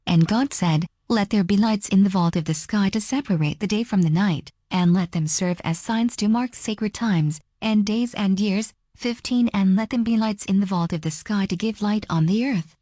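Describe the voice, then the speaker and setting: A woman reading scripture aloud in a measured, formal manner. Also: medium pitch, warm tone, no room sound. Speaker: reader.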